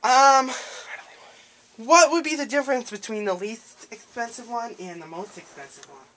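Young guy doing a prank call, hesitant and rambly, sharp bright voice, bit of noise on the camera mic. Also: very high voice, normal speed. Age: young adult. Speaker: prank caller. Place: small cluttered room indoors. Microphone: handheld camera a few feet away. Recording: noisy amateur camera audio, clicks.

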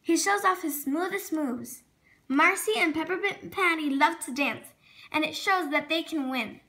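A woman's voice: very high-pitched, unhurried, sharp tone. A female reading a children's storybook aloud expressively, sometimes stumbling over words and correcting herself. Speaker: storybook reader.

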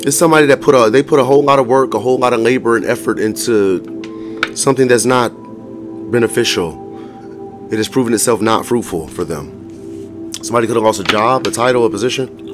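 Hoarse, profane male tarot reader. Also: mid-range voice, fairly quick, long pauses. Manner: blunt and profane, with frequent laughs. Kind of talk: casual tarot reading to viewers. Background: music.

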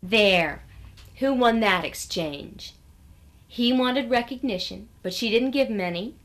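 A woman playing a brisk, condescending seminar leader in a satirical radio lecture, coaxing her listeners. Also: on the high side, expressive, a bit bright. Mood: smug instruction. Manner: patronizing, coaxing the audience.

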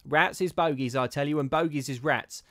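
A man doing a blunt old charwoman who scolds without mercy, her voice pitched well above his own and pushed out loud and hard. She fears nothing and says so harshly. The sound is close and dry. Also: moderate pace, no echo, close to an everyday voice. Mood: scolding. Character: gruff, scornful old charwoman, unafraid.

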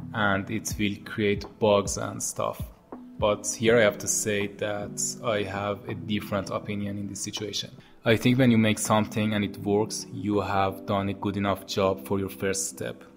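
A man in his thirties with an Iranian accent, calmly giving scripted advice to camera as host of a tutorial channel, speaking into a boom-arm mic in his home studio. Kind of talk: scripted educational talk to camera. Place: home studio with monitors and posters. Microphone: boom-arm podcast mic. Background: soft background music.

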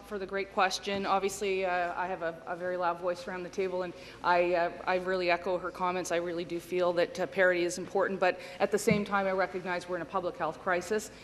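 Flat-toned woman minister answering firmly. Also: lowish voice, fast, few pauses, in a large hall, a little hiss or hum. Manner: defensive, firm. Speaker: government minister. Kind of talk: parliamentary answer. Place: large legislative chamber. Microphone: desk microphone.

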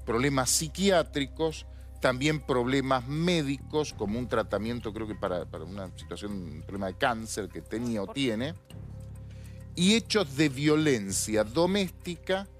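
A man's voice: mid-range voice, measured pace, faint music behind. A man in his forties with an Argentine accent, co-hosting a studio talk show and explaining in an earnest tone. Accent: Argentine Spanish. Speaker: TV show co-host. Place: TV studio set.

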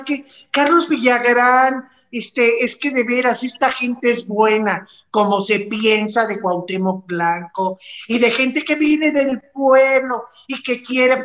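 A woman's voice: on the high side, takes it slowly, fairly bright tone. A middle-aged Mexican woman giving casual, blunt commentary as a talk show panelist on a video call. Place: indoor room, on a video call. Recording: slightly dull video-call audio.

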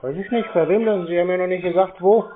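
A man speaking deadpan and tersely in a prank phone conversation. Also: high voice, quick pace. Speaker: prank callee baiting phone scammers. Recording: dull lo-fi phone call recording.

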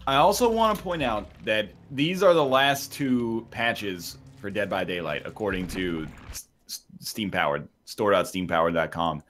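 A man's voice: on the low side, expressive, measured pace, a bit bright. A man chatting casually with gaming friends in a dry, sarcastic way. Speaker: gamer in a group voice chat. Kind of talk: casual chat.

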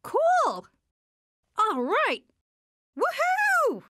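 A woman's voice: extremely high pitch, wildly swooping pitch, very slow, sharp tone, no echo, studio quality, full character voice. A woman voicing a shy but upbeat teenage superhero girl in short video game lines, with casual quips and exclamations. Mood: excited, cheerful.

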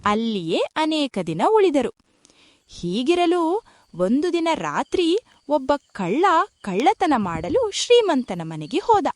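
Woman narrating a kids moral story, high voice, slow n really animated, soft music under. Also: dry recording.

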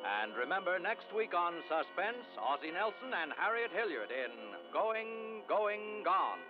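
A man's voice: sits high, very animated, a little slow, over music. Dramatic male announcer on muffled old radio. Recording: muffled vintage radio recording.

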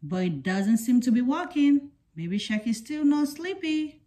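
A woman reading a children's picture book aloud in a deliberate, simple storytelling way for young children. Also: on the high side, big pitch swings, warm tone. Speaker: storybook reader.

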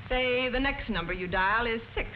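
A woman narrating as a prim, patient telephone instructor in a 1940s educational film, measured and didactic, on an old muffled soundtrack. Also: on the high side, a little hiss or hum, lightly acted. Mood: patient, instructive.

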